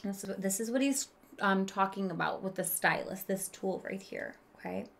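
A woman in her thirties reading a picture book aloud to children in a deliberate, emphatic storytelling manner, pausing to explain things as a teacher would. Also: mid-range voice, vocal fry. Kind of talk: expressive children's read-aloud with explanatory asides. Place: small room with children's book shelves.

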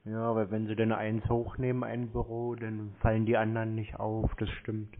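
A man chatting casually on the telephone as a customer, laconic and deadpan. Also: on the low side, moderate pace. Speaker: prank caller posing as customer. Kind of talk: casual phone chat. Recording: muffled narrowband phone line.

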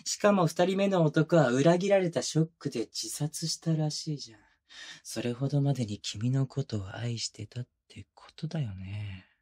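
A man plays a sadistic twin detective in a binaural situation drama. His voice is very mellow and breathy, close to the mic. He coaxes and commands his suspect with cold condescension. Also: mid-range voice, moderate pace. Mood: cold, condescending. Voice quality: breathy, husky. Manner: condescending, coaxing then commanding. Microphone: close binaural microphone.

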